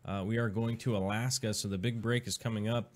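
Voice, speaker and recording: A man with a lowish voice hosts a relaxed live stream from the Pacific Northwest. He rambles along loosely, full of ums and uhs and restarted sentences. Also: brisk. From Pacific Northwest, USA. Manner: rambling, many fillers and restarts. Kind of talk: casual live stream chat.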